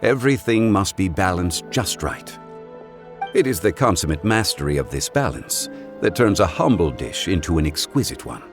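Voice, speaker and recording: A man voicing a composed, refined consultant in a game, speaking in a measured, formal, eloquent way. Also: low voice, sing-song, over music. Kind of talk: game cutscene voice acting.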